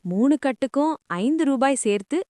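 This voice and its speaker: A woman voicing a meek, tired village greens seller in a children's story, soft-spoken and deferential. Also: sits high, lively intonation, normal speed, dry recording, clean studio sound. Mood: meek bargaining.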